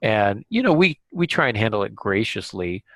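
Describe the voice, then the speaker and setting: Low, gravelly man thinking aloud. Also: big pitch swings, moderate pace, no echo. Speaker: podcast host and writer. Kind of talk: reflective casual podcast discussion.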